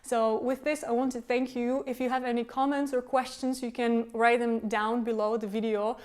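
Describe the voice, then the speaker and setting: Give me a woman interviewer with a clear, resonant voice, polite and formal. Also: on the high side, flat delivery, normal speed, a little mellow. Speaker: interviewer and channel host. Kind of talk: scripted interview questions and sign-off.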